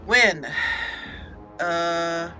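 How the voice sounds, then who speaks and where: A woman giving casual, excitable commentary while playing a video game, talking to the characters as she goes. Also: fairly low pitch, drawn-out words, soft background music. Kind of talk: casual let's play commentary.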